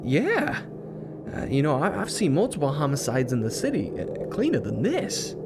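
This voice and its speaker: A man's voice: a little high, wildly swooping pitch, moderate pace, very noisy. A man voicing a sardonic, impatient New York City detective in an audio drama, blunt and skeptical. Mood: dismayed.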